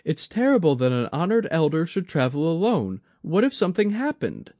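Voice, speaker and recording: A man voicing a young woman who keeps a shrine, bright, courteous and eager, in a high put-on voice. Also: very animated, normal speed, no echo.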